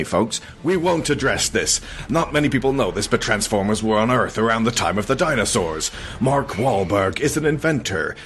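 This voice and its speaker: A man hosting a podcast, reading a comic scripted recap in a mock-solemn, deadpan way with casual asides. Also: mid-range voice. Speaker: podcast host.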